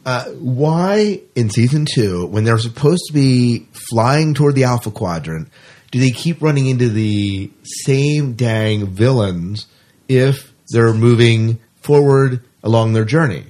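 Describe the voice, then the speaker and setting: A man's voice: medium pitch, animated, a little slow, a little mellow. A man hosting a podcast in casual chat, asking questions in an informal, self-deprecating way. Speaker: podcast host.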